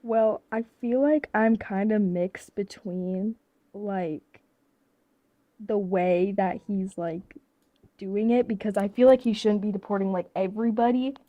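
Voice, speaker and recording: An eighteen-year-old girl calls into a stream, speaking quietly and unsurely and often trailing off. Her covered mic makes the sound dull and muffled, and it is dry, with no room around it. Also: medium pitch, a little slow, no room sound. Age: teens. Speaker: caller.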